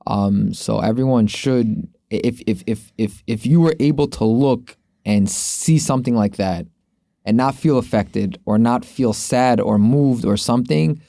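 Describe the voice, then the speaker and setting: A man's voice: medium pitch, measured pace, gravelly, warm tone, no echo, clean studio sound. A man hosting a casual sports podcast, speaking informally and rambling, often repeating his phrases as he goes. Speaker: podcast host.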